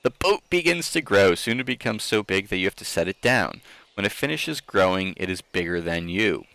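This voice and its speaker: Male storyteller-host, low creaky register, very animated intonation, theatrical read with offhand commentary. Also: moderate pace, dry recording. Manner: theatrical, expressive delivery. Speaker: streamer host reading aloud. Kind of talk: dramatic story reading with casual asides.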